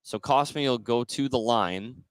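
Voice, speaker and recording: A man's voice: mid-range voice, takes it slowly, smooth, dry recording. A man doing live basketball radio play-by-play, narrating each play in short phrases. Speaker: play-by-play commentator.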